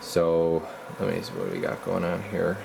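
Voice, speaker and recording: A man with a low voice talks casually through hands-on repair work as a technician. His delivery is halting and folksy, like someone thinking aloud, and there is noticeable background noise behind him. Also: little pitch movement, creaky. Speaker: radio repair technician and video host.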